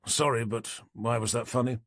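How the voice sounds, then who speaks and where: A man's voice: medium pitch, fairly even intonation, normal speed, a bit bright, no room sound. A man voicing a dry, wry detective inspector asking questions in an audiobook, with a British accent.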